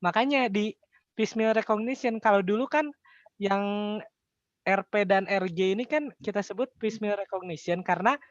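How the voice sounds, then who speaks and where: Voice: mid-range voice, moderate pace, dry recording, dull audio. A tutor with an Indonesian accent explains things step by step in an informal, colloquial way, often posing questions and answering them. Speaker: accounting tutor.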